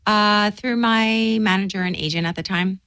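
A woman's voice: medium pitch, fairly even intonation, normal speed, a bit bright, smooth, no echo. A woman actress being interviewed, chatting in an easygoing way. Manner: chatty, easygoing, laughs readily. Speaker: actress guest. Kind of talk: casual interview chat.

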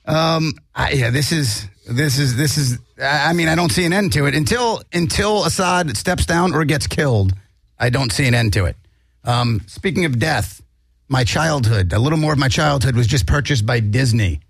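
Gravelly wry man, dry close mic. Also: mid-range voice, moderate pace, dry recording. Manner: wry, sarcastic, laughs often. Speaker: podcast host. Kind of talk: casual comedic news chat.

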